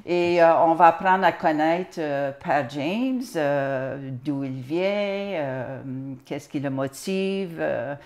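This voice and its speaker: A woman hosts a studio interview, asking her guest questions. Her voice sits low for a woman. She speaks in a friendly way, with occasional "euh" fillers between phrases. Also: slow, few pauses, darkish tone. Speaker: host, interviewer.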